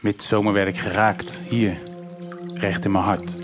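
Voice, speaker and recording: An actor playing a weary, haunted middle-aged detective in a noir radio drama, muttering and halting as he talks. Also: lowish voice, little pitch movement, close to an everyday voice. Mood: wistful. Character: weary, haunted older male detective confessing his past. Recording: music behind the voice. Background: live musical accompaniment with guitar.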